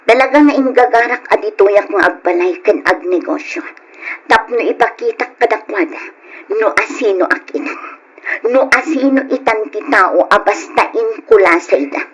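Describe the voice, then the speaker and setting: Lady acting a stubborn bitter daughter in a radio drama, kinda raspy, proud n dramatic. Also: medium pitch, expressive, moderate pace, rough recording. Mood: bitter, determined. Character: proud, resentful ambitious daughter out for payback.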